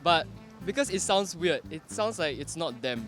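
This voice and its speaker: A man's voice: fairly high pitch, animated, moderate pace, a bit bright, soft background music. A man explaining his views thoughtfully in a street interview.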